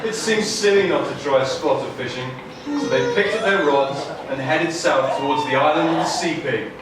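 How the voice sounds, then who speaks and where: High-voiced male storyteller in an echoey hall. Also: moderate pace, loud music behind the voice. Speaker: puppet show narrator. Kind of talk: comic scripted storytelling to a live audience. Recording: echoey noisy hall, recorded from far off.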